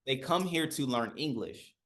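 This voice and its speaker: A man's voice: medium pitch, animated, normal speed, a bit bright. A man from the United States hosting an interview, asking questions and giving frequent short affirmations. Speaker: host. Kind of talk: interviewing a guest.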